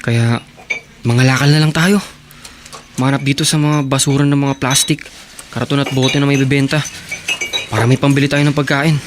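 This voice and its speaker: A man playing a stubborn, lovestruck teenage son who lives rough, in a radio drama. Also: mid-range voice, steady tone, normal speed, long pauses, background noise, close to an everyday voice. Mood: resigned. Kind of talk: Tagalog radio drama acting.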